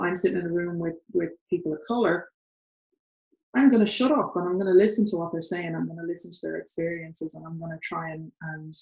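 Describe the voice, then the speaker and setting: A woman's voice: on the low side, vocal fry, darkish tone. A woman in her thirties with an Irish accent, talking earnestly in a casual chat at home. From Ireland. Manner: earnest, thoughtful, laughs between points. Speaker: co-host. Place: home sitting room. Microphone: far camera. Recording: roomy echo in living room.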